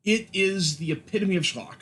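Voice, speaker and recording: A man on a podcast panel chatting casually about films in a halting, wry manner. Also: sits high, fairly bright tone. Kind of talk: casual film chat.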